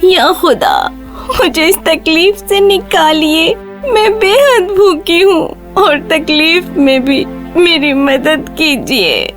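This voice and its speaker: A woman's voice: extremely high pitch, expressive, a bit bright, soft background music. A woman voicing an innocent, poor little girl in a children's cartoon story, speaking in a polite, plaintive childlike way. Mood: pleading, tearful.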